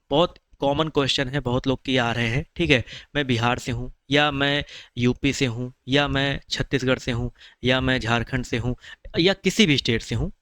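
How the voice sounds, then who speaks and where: A man's voice: mid-range voice, a bit bright, clean tone, no echo. A man, explaining exam preparation in a chatty, reassuring video lesson and calling viewers brother. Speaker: exam-prep teacher.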